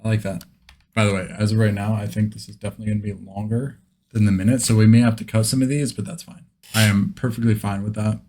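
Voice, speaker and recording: A man's voice: on the low side, dark tone. A man hosting a vlog in a casual, conversational style. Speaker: video host, beginner voice actor.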